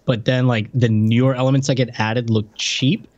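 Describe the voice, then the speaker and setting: A man speaks as a podcast co-host in relaxed, unscripted chat. His pitch is average for a man, and his delivery is hesitant, often doubling back on words while he gathers his thoughts. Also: normal speed, muffled recording.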